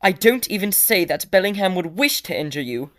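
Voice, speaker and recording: A woman playing an anxious, earnest young gentleman in a period drama, pleading in formal phrases. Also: on the low side, sing-song, a little fast. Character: anxious, earnest young clergyman's son warning a friend. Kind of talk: period audio drama dialogue.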